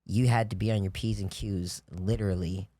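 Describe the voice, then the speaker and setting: A man's voice: fairly low pitch, fairly even intonation, normal speed, mellow, no room sound. A young man in casual chat, telling long stories in a rambling way with many restarts and "you know, like". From grew up on US military bases in Japan. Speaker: podcast guest.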